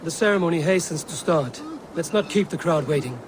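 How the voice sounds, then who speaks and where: Man acting a high-voiced, bold Viking warrior. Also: expressive, a little fast, noisy background, natural voice. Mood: friendly, brisk. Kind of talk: dramatic video game acting.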